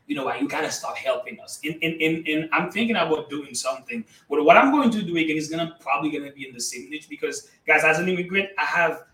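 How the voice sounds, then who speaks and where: A man from Haiti whose first language is Haitian Creole, giving emphatic, energetic motivational answers as a guest in an interview. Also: on the high side, fast talker, few pauses, reverberant, distant mic, low-quality recording. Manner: energetic, emphatic, addresses listeners as guys. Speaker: guest entrepreneur.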